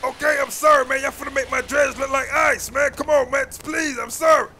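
A man doing a shrill, panicky captive. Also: fairly even intonation, fairly quick, a little hiss or hum. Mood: pleading. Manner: pleading, frantic, yelling. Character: captured young male gang member, panicky.

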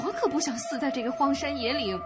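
A woman's voice: high-pitched, fast talker, loud music behind the voice, natural voice. A woman playing a frightened, complaining young traveller in a radio drama. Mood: anxious, complaining.

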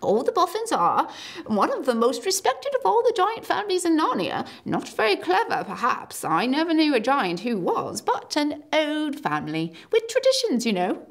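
A woman voicing a gentle, fussy male faun who chats in a gossipy way. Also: sits high, huge pitch swings, normal speed, voice pushed up, exaggerated voice. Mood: chatty.

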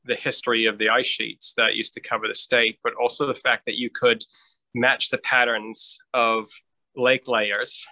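A middle-aged man from New Hampshire, speaking as a guest scientist over a video call on a headset, measured and thoughtful with frequent ums and restarts. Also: medium pitch, flat delivery, sharp tone, no echo, poor audio. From New Hampshire, United States. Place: lit home room, indoors.

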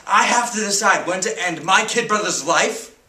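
A man plays a bereaved teenager in a dramatic monologue, with a high, sharp-edged voice. The delivery is raw and halting, moving between hushed and shouted grief. It is picked up from a distance in an echoey room. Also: lively intonation. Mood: angry, disbelieving. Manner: emotional, halting, swinging from whispers to shouts. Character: grief-stricken teenager who lost the whole family.

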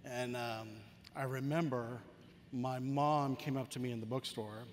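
A man's voice: mid-range voice, fairly even intonation, a little slow. Earnest man in his forties recalling. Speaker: panelist, story artist. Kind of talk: personal anecdote on a panel. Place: large convention stage, indoors. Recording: long hall reverb, faint noise.

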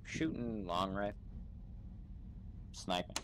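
A man's voice: low-pitched, steady tone, slow. A man playing an easygoing, laconic sniper in his late twenties, answering hesitantly in an improvised game roleplay. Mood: laid-back. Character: easygoing, laconic 27-year-old male sci-fi sniper. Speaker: Star Wars roleplay player. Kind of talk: improvised in-character gaming chat. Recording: noisy gaming voice chat.

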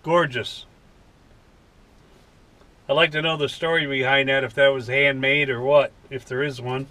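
An American man in his fifties talking in a folksy, unhurried way in a casual vlog from a truck cab. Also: mid-range voice, steady tone, bright, forceful tone. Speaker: vlogger. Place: inside a parked truck cab.

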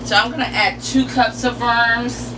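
A woman hosting an informal step-by-step how-to demonstration in a deliberate, instructional way, picked up by a camera a few metres away. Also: fairly high pitch, steady tone. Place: enclosed porch or sunroom. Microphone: camera mic a few metres away. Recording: distant mic, clearly noisy with background hum.

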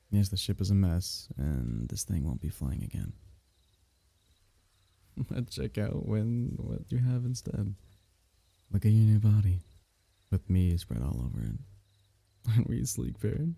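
A man voicing a lonely, doting alien symbiote in an intimate, soothing ASMR roleplay, coaxing its host with a low, gravelly tone. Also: warm tone, no room sound, exaggerated voice. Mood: gentle coaxing.

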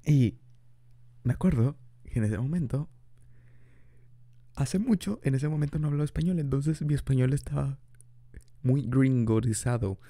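A man's voice: medium pitch, moderate pace, long pauses, mellow, no echo. A young man improvising an ASMR boyfriend roleplay, rambling chattily and laughing often into a close mic. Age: young adult.

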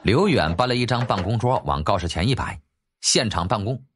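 A man's voice: fairly low pitch, expressive, brisk, slight background noise. A man narrating an audiobook in a dramatic, scripted storytelling style.

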